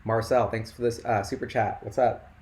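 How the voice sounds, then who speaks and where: A young man hosting a casual investing livestream, rambling and excitable with lots of "like", his voice going hoarse. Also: fairly low pitch, moderate pace. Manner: rambling and excitable, heavy "like" filler.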